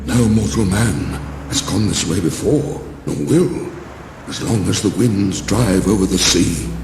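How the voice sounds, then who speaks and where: A man playing a solemn, troubled sun god in a dramatized audiobook, pronouncing judgment in a grave, measured way. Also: fairly low pitch, echoey room, big-room sound. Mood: grave, distressed. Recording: music behind the voice.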